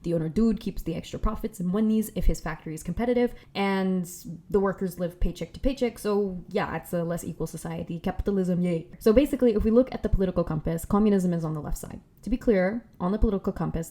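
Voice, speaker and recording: A young woman talking through a casual commentary video essay in a chatty, slangy way with animated asides. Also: on the low side, fast, hardly any pauses.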